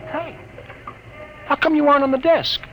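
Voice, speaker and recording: A man's voice: very high voice, steady tone, moderate pace, gravelly, muffled recording, faint music behind. A man playing an eager, unpolished newspaper hand in a vintage radio drama, slangy and ungrammatical. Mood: incredulous. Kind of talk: 1940s-50s radio drama acting. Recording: noisy old radio broadcast recording.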